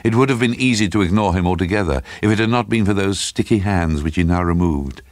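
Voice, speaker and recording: A man narrating a dark literary story in a dramatic, scripted storytelling style. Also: sits low, fast, no room sound, studio quality.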